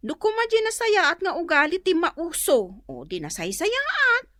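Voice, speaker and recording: A woman plays an attentive daughter in an Ilocano radio drama. Her fairly high voice moves with animated intonation, in an Ilocano accent, recorded dry. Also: measured pace, no room sound, exaggerated voice. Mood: earnest. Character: attentive grown daughter confiding in her mother.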